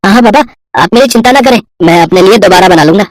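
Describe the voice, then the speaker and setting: A man playing a kind, earnest young poor villager in an animated moral story, eager in manner. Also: high-pitched, fast talker, no room sound. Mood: respectful.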